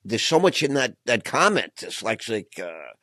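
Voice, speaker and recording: A man's voice: medium pitch, big pitch swings, moderate pace, sharp tone, no echo, studio quality. A man hosting a podcast interview, enthusiastic and effusive, often repeating words as he talks. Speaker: podcast host. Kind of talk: conversational interview.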